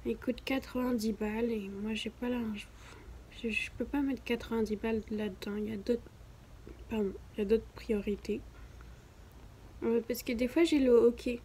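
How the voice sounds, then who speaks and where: A woman's voice: fairly high pitch, warm tone, slight background noise. A young woman with a southern French accent, chatting casually to camera at home in a rambling, self-mocking way. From southern France. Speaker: beginner vlogger.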